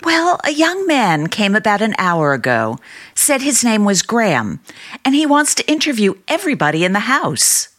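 A woman's voice: mid-range voice, very animated, moderate pace, fairly bright tone. An actress playing a doting, trusting middle-aged sister and small-town mother in an old-time radio drama, chatty and earnest.

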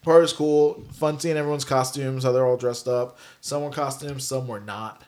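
Casual male podcast host, mid pitch, easygoing and profane, trails off. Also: fairly even intonation, normal speed, a little mellow. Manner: laid-back, swears freely, drifts off into low trailing words. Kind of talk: casual chat.

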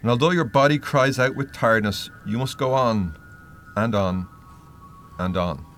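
An actor playing a stern, solemn spirit who guides the dead in a radio play, grave and deliberate. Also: male voice, medium pitch, a bit bright, slight background noise, natural voice. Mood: ominous.

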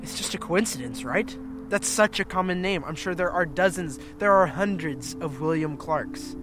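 A man's voice: fairly high pitch, normal speed, music in the background, lightly acted. An actor playing an earnest, uneasy young man in a naturalistic audio drama, hesitant and anxiously repeating himself. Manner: hesitant, repetitive, anxiously reassuring. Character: Griffin, earnest, uneasy young son reassuring his friend.

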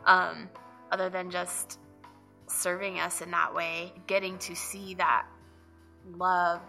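A young adult woman from Nevada reflecting softly on her church testimony in an interview, with fillers and pauses. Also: fairly low pitch. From Reno, Nevada. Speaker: church member giving testimony.

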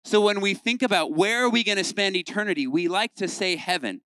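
A young man gives a lively, joking sermon to a youth group in a fairly high, crisp voice. The sound is close and dry, with no room around him. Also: fairly quick, dry recording, low-quality recording. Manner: lively, playful, acts out quoted voices. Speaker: youth pastor.

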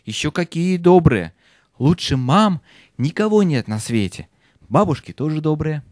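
An actor plays a young boy hero in an audio fairy tale. He is curious and earnest, with a soft-toned, very lively delivery. The sound is dry and close. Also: medium pitch, measured pace, dry recording, close to an everyday voice. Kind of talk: children's audio play acting.

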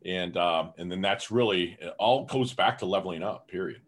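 An energetic man with a somewhat low voice, talking as a guest on a podcast. He speaks in a casual, fired-up way, leaning on "you know" as he goes. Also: normal speed. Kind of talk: casual interview talk.

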